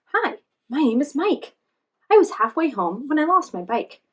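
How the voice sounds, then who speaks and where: A woman's voice: high voice, big pitch swings, normal speed, fairly bright tone, voice pushed up. A woman voicing a confused, friendly young male monkey in a children's storybook, eager and bouncy.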